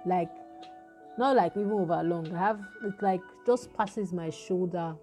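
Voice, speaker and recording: Deep-voiced Nigerian young woman storyteller. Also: unhurried, faint music behind. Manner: animated storytelling with quoted dialogue. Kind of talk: casual chat.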